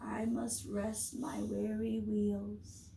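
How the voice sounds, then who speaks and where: Rusty old engine, weary, faint and sighing, slow delivery, voiced by a woman, roomy echo. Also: mid-range voice, little pitch movement, gravelly, dark tone, distant mic, a little hiss or hum, full character voice. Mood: exhausted.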